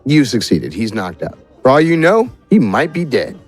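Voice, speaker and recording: A man's voice: medium pitch, wildly swooping pitch, normal speed, soft background music. A man narrating a tabletop game as game master, with theatrical, improvised storytelling.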